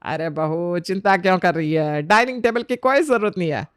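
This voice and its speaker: A woman's voice: lowish voice, moderate pace, smooth, no room sound, studio quality, close to an everyday voice. A woman voicing a fussy, worried middle-aged Indian mother-in-law in a story, with emphatic, chiding delivery. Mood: bossy reassurance.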